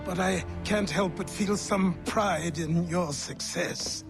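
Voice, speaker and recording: A man playing a crusty old mentor in a video game, wry and condescending, with a dry, chiding, deliberate delivery. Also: high-pitched, creaky, music in the background, full character voice. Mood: wry, scornful.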